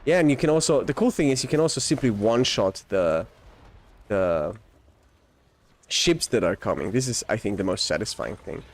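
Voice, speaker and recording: A man's voice: on the low side, lively intonation, normal speed. Austrian-born man streaming a game. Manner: rambling, frequent restarts and self-corrections; enthusiastic, laughs often. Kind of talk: casual live-stream gameplay chat.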